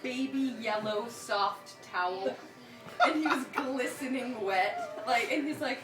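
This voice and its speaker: A woman's voice: fairly high pitch, slow pace, echoey room, far from the mic, over loud music. A young woman from Michigan telling a personal story in a chatty, giggly way, with many fillers and bursts of laughter.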